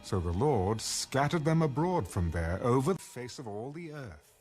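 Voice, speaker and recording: A man narrating scripture from a script in a measured, formal way. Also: medium pitch, very animated. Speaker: audio Bible narrator. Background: soft background music.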